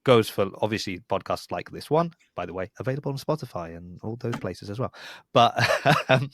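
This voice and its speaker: A man's voice: fairly low pitch, animated, moderate pace, a bit bright, slight background noise. A man hosting a podcast in casual conversation, chatty and rambling with a wry streak. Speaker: podcast host.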